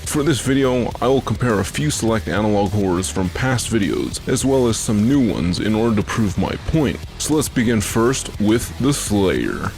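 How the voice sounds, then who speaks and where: A man's voice: lowish voice, expressive, moderate pace, hardly any pauses, music in the background. A man narrating a scripted video essay as its host.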